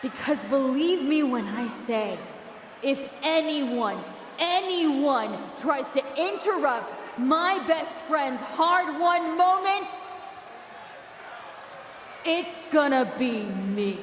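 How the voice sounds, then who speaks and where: A bitter female wrestling promoter from a video game, high-voiced and deliberate. Every sarcastic, menacing phrase is drawn out and rings through a reverberant arena PA, with a noisy crowd behind it. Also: animated. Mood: defiant, angry. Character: bitter, jealous woman wrestling promoter turned villain. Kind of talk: theatrical in-ring promo speech. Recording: echoey arena PA with crowd noise.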